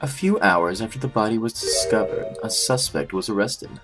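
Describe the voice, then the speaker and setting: A man voicing a composed, formal prosecutor in a game fandub, speaking in a measured, matter-of-fact way. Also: on the low side, lively intonation, over music, close to an everyday voice. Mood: composed, businesslike. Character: composed, formal male prosecutor briefing on a case. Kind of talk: scripted game fandub acting.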